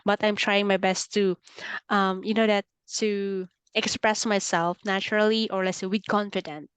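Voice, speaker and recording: A woman with a Filipino accent gives a one-on-one English lesson by video call. She explains things patiently and fills her pauses with "okay." Her voice sits in a middle range and sounds dry and close to the mic. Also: mid-range voice, level tone, clear, resonant tone, dry recording. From Philippines. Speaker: English tutor. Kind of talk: online one-on-one speaking lesson.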